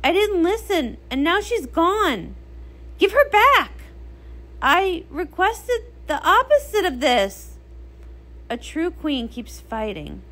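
A woman's voice: very high-pitched, sing-song, slow, fairly bright tone. A woman performing an acted comic read-along with character voices.

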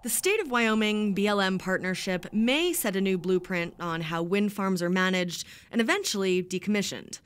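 A woman's voice: mid-range voice, animated, normal speed, few pauses, clean tone. Woman reporter narrating a news feature.

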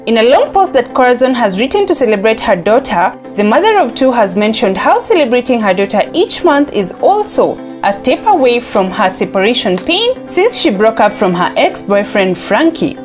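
A woman's voice: on the high side, big pitch swings, normal speed, hardly any pauses. A woman with a Kenyan accent presenting entertainment news as a scripted voice-over, upbeat, reading posts aloud. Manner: upbeat, reads quotes aloud. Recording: voice-over with loud background music.

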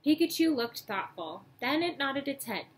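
A young woman reading a children's book aloud in a storytelling style, acting out dialogue and pausing to point out pictures. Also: high voice, sing-song. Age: young adult. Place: small room at home, indoors. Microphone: webcam or laptop mic at arm's length. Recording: noisy home webcam recording.

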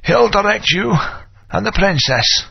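A grave old wizard mentor, his high, gravelly voice rising and falling widely as he tells old history. He sounds weary and solemn. The game audio is muffled and lo-fi. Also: animated, heavily acted. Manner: solemn, slow storytelling delivery.

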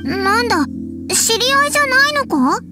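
A woman voicing a tiny, childlike fairy companion in an anime video game, squeaky and blunt, with cheeky boyish phrasing. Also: big pitch swings, moderate pace. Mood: chatty. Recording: music behind the voice.

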